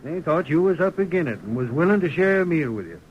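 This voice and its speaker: Blunt, highish-voiced frontier townsman. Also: sing-song, quick pace, muffled recording. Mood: fond, gruff. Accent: American. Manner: emphatic, folksy frontier grammar. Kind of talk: 1930s radio acting. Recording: noisy 1930s radio recording.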